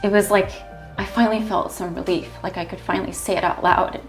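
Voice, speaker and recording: A woman in her thirties speaking in a measured, reflective way in a documentary interview. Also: mid-range voice, steady tone. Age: 30s. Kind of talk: documentary interview, personal testimony. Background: faint music.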